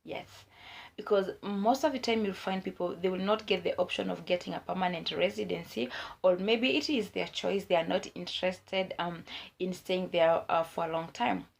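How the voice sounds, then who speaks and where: A young woman vlogger with a hoarse voice from a cold, giving earnest advice in a casual way, with many fillers. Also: on the low side, moderate pace. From Kenya. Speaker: YouTube vlogger.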